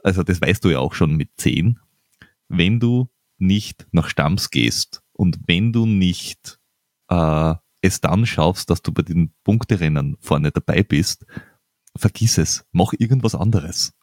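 An Austrian man with an Austrian accent, hosting a casual conversation, quick and emphatic, repeating words as he starts a thought. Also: low voice, expressive, darkish tone, no echo, studio quality.

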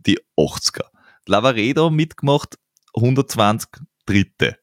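A man's voice: mid-range voice, lively intonation, measured pace, no room sound, studio quality. A man hosting a podcast, chatting casually with many stammered restarts and laughs. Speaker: podcast host. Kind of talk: casual interview chat.

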